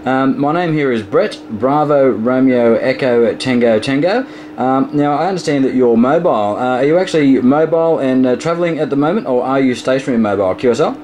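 A man's voice: mid-range voice, lively intonation, brisk, a little mellow. A man chatting casually as an amateur radio operator during a contact. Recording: clearly noisy room recording by radio.

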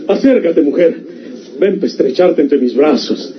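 Impassioned Mexican outlaw, muffled old radio. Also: male voice, fairly high pitch, animated, a little slow. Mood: ardent, tender. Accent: rural northern Mexican. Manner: emphatic, folksy, insistent. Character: rough, impassioned outlaw man, possessive and tender lover. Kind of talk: golden-age Mexican radionovela acting. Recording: muffled vintage radio recording with hiss.

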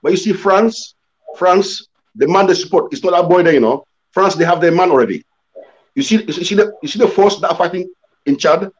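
An older man talking as a panel guest on a video call, halting, with many restarts and "you know" as he explains. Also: sits high. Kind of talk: casual discussion on a video call. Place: room indoors. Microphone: laptop webcam video call. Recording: slightly dull video-call audio.

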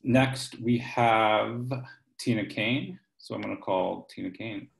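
A man's voice: fairly low pitch, takes it slowly, creaky, echoey room, low-quality recording. A man around forty, informally hosting a poetry reading over a video call from his kitchen. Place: home kitchen. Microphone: computer microphone on a video call.

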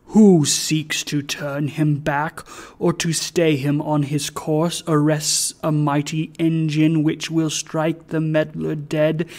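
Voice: level tone, slow, a little mellow. A narrator voicing a stern, ancient goblin spirit of a great bell in a storybook, speaking in grave, accusing oratory. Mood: solemn, weighty. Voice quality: low, deep.

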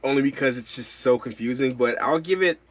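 A man in his thirties talks casually to camera from inside his camper van. His voice sits at an ordinary middle pitch, and he rambles along in an easygoing way, full of likes and ums. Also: a little fast. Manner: relaxed, rambling, with frequent fillers. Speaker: van-life vlogger.

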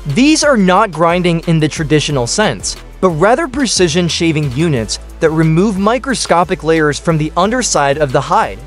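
A man reading scripted documentary narration, explaining each step in steady, short listed phrases. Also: a little high, moderate pace, few pauses. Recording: voice-over with faint background music.

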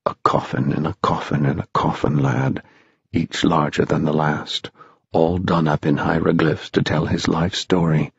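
A man voicing a sly, dry old spirit guide in a storybook, commanding and conspiratorial, with a hushed, deliberate manner. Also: very deep voice, flat delivery, vocal fry, slightly rough, no echo, lo-fi sound, voice pushed down, exaggerated voice. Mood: cautioning, wry. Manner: hushed, deliberate, addressing boys as lads.

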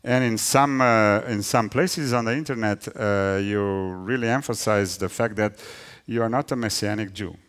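A middle-aged man with a Romanian accent and a fairly low voice hosts an interview. He speaks haltingly, searching for words as he puts questions to a guest on stage. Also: a bit bright, long reverb tail. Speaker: host, interviewer. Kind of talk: stage interview before an audience. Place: large hall stage, indoors. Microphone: headset.

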